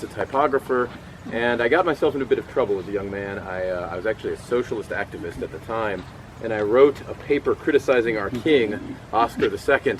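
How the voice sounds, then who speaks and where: A middle-aged man giving a first-person historical portrayal outdoors, telling his story with frequent pauses and fillers. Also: on the low side, a little hiss or hum, close to an everyday voice, low-quality recording. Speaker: historical portrayer at a cemetery event. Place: outdoors in a cemetery. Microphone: far camera.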